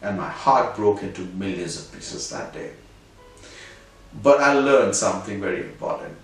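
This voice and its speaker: A middle-aged man from India with an Indian English accent, telling a personal anecdote in a conversational way and closing with a lesson. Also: medium pitch. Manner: animated, conversational, moral at the end. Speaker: storyteller host. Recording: very echoey room, distant mic, faint music.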